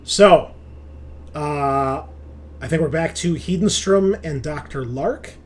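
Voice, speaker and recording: A man's voice: on the high side, slow pace. A man narrating a tabletop game as game master, conversational and thinking aloud as he goes.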